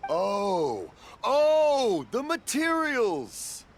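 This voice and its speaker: A man's voice: extremely high pitch, huge pitch swings, extremely slow, very noisy, full character voice. A man playing a jolly, hard-of-hearing old father and proud fireworks maker in a video game, hearty and rambling. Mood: confused, jolly. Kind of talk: video game character acting.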